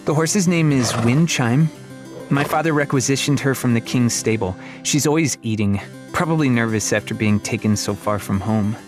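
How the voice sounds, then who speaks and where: A man's voice: mid-range voice, moderate pace, music in the background, natural voice. A man voicing a haughty, chatty young girl librarian from a storybook, prim and easily flustered. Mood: nervously chatty. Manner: prim, indignant, talkative. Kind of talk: storybook character acting.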